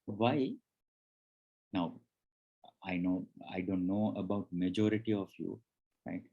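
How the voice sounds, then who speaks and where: A man speaking in a low, somewhat husky voice, presenting to an audience on how to face a job interview. English is his third language, and he talks conversationally, often restarting sentences and acting out bits of dialogue. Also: fairly even intonation, takes it slowly, phone-quality sound. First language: not English.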